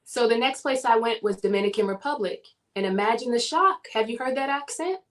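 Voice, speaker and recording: A woman from the southern United States, a guest in a casual interview, telling her story in an animated way and laughing often. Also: a little high, a little fast.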